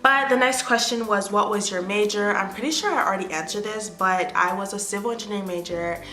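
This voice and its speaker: A young American woman in her twenties chatting casually to the camera as an influencer, answering fan questions with animated bursts of excitement. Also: mid-range voice, a little fast, echoey room, soft background music, poor audio. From United States. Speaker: lifestyle influencer. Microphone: phone or tablet camera.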